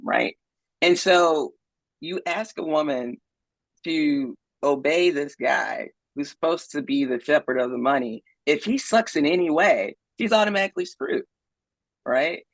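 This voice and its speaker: A man speaks in a gravelly, slightly husky voice, recorded close and dry. He talks casually as an interview guest, often tagging his points with a quick "right?". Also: mid-range voice, no echo, low-quality recording. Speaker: podcast guest, investor. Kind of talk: conversational interview.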